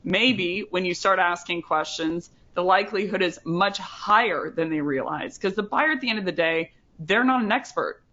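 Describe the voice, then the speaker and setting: Podcast co-host, female, bright forceful tone, chatty, dull lo-fi sound. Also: lowish voice, normal speed, hardly any pauses. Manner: chatty, lots of fillers like "like" and "you know". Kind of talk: casual podcast chat.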